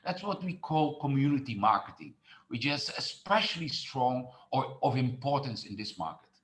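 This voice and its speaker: A man's voice: mid-range voice, lively intonation, moderate pace, fairly bright tone. A middle-aged man from the Netherlands, a tourism marketing expert who speaks with many fillers and restarts in an online presentation.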